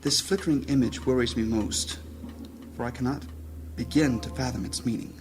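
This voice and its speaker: An actor playing a grave, worried grey mage who leads a guild in a fantasy audio drama, formal and measured in speech. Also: male voice, mid-range voice, noisy background, lightly acted. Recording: music behind the voice.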